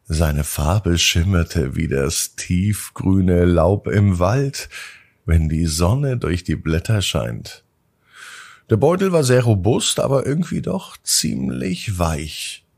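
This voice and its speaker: A man hosting a children's bedtime podcast, narrating in a gentle, drawn-out storytelling manner. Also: low-pitched, lively intonation, creaky, breathy edge.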